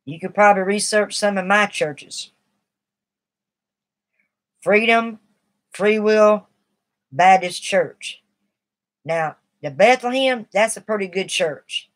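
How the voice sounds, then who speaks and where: A middle-aged woman chatting on her live stream in a rambling, confiding way. Her voice sits at an ordinary pitch for a woman, and she sounds a little shaky as she fights off cigarette withdrawal. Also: slow, long pauses. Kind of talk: casual live stream talk.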